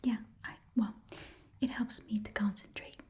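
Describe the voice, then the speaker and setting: A woman's voice: a little high, steady tone, a little mellow, lightly acted. A woman voicing a chatty, eager goblin pawn-shop keeper in a whispered ASMR fantasy roleplay, rambling and repeating herself. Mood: haggling, hesitant. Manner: halting, rambling, repeats phrases.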